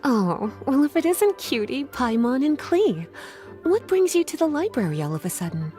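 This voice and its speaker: A poised, scholarly librarian lady from a video game, speaks in a fairly high voice with lilting, animated pitch. She is coy and teasing yet articulate, with soft music behind. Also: moderate pace.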